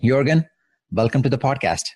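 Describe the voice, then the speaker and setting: A man's voice: mid-range voice, animated, brisk, darkish tone, no room sound. A man hosting a podcast interview, polite and a little hesitant, with many fillers and restarts. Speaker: podcast host.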